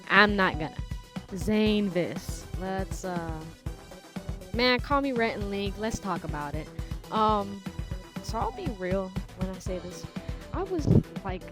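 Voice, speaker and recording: Female commentary host, medium-pitched, casual and opinionated, slangy delivery, faint music underneath. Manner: informal, slangy.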